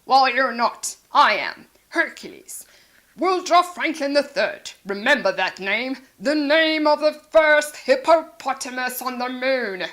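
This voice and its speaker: A woman voicing a pompous, rude, rich male hippo astronaut in a children's storybook, with booming, haughty delivery. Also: lively intonation, slow, fairly bright tone, full character voice. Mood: indignant, boastful.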